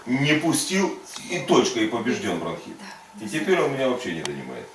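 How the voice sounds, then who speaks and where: A man's voice: mid-range voice, huge pitch swings, brisk. A man working as a faith healing preacher, giving insistent prayer commands and coaching in short, repeated phrases. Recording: echoey room, distant mic, faint noise.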